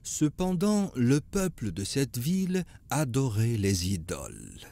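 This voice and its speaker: A man's voice: mid-range voice, huge pitch swings, slow pace, vocal fry, mellow, heavily acted. A man voicing a kindly old grandfather sage who tells stories in a patient, solemn way in a cartoon. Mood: solemn, gentle. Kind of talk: cartoon storytelling.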